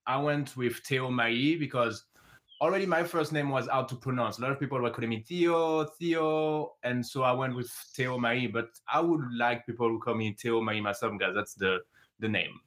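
A man's voice: medium pitch, normal speed, fairly bright tone. Male filmmaker guest, native French speaker with French accent, mid register, casual conversational interview delivery. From south of France.

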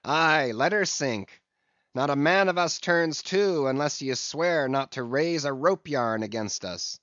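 A man's voice: fairly high pitch, lively intonation, a little slow, a bit bright, dry recording. A man voicing a defiant, blunt sailor who leads a mutiny, in a dull, dry recording.

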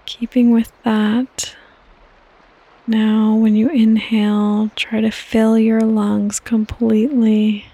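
A woman hosting a guided sleep meditation in a soft, breathy, partly whispered voice, with calm, gentle instructions and long pauses. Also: fairly high pitch. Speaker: podcast host and meditation guide.

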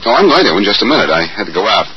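A muffled, noisy vintage radio recording carries a breezy American amateur sleuth. His voice sits low and swings freely as he trades flippant wisecracks in classic detective-serial style. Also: very animated, rapid-fire. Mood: wry, animated. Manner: flippant, bantering. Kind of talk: old-time radio detective drama acting.

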